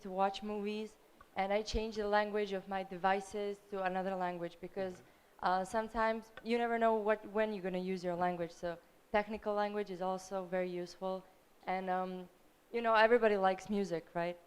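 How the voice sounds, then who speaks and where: A young woman with a Serbian accent, a native Serbian speaker, sharing her experience from the audience in a friendly, hesitant way with many pauses. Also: medium pitch, smooth. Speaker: audience member. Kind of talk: spontaneous audience comment. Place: lecture hall.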